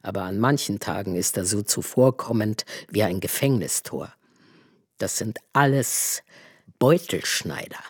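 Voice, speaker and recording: An actor playing a blunt, sardonic servant who grumbles in homely sayings in a radio drama, with a husky voice. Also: male voice, fairly low pitch, expressive, unhurried, dry recording, clean studio sound. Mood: grumbling, scornful. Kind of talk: literary radio drama acting.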